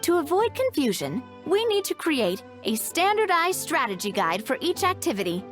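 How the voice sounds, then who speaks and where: A woman voicing a confident, upbeat young festival organizer in a video game, breezy, expressive and reassuring. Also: sits high, moderate pace, soft background music. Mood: helpful, explaining.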